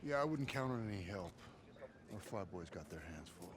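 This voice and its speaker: A harsh, bitter sergeant in a war game, contemptuous and cursing as he taunts his men. His voice sits mid-range. Battlefield ambience runs clearly behind him. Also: low-pitched, expressive, moderate pace, background noise.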